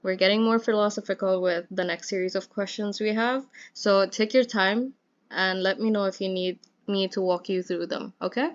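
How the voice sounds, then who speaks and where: Young woman, student interviewer, upbeat and encouraging, mid-pitched, muffled lo-fi sound. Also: fairly even intonation, normal speed. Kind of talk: casual interview hosting.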